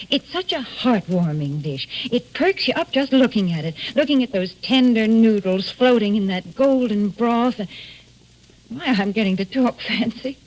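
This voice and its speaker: A woman giving a homey, chatty sales pitch in the folksy style of 1940s radio advertising. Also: rough recording. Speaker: commercial spokeswoman on a radio show. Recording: old 1940s radio broadcast.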